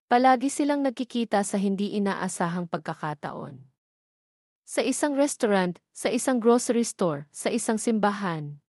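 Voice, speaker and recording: A woman reading scripted dramatic narration of a love story in a measured, sentimental manner. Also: mid-range voice, animated, a little mellow, no room sound, clean studio sound.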